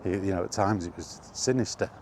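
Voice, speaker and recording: A man's voice: low-pitched, fairly quick, darkish tone, a little hiss or hum. A middle-aged man rambling casually in a personal gaming vlog, reminiscing in an informal way. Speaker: gaming vlogger. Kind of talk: casual rambling chat about retro gaming.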